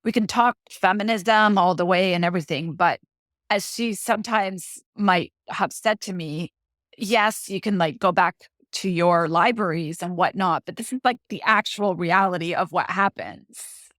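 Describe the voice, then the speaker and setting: A woman's voice: lowish voice, normal speed, fairly bright tone, no echo, studio quality. A middle-aged woman from Colombia, a guest author talking through her work in a reflective way, often restarting as she searches for words. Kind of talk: interview conversation.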